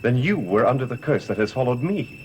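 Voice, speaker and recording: A man's voice: mid-range voice, sing-song, brisk, a little mellow, dull audio, slight background noise, lightly acted. A man acting a guarded, intense, cursed heir in a gothic television soap, with an earnest, questioning, dramatic delivery. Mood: troubled, probing.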